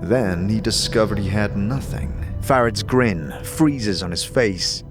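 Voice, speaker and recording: A man playing a calm, grave wanderer and narrating in a measured, brooding way in a fantasy audio drama. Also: on the low side. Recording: long reverb, music underneath.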